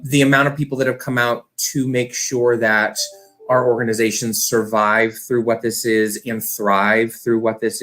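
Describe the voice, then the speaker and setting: A man's voice: medium pitch, fairly bright tone. A man speaking conversationally as an arts director and guest, earnest and effusive, in long wordy sentences. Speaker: theatre company director, guest. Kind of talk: conversational talk about running an arts organisation.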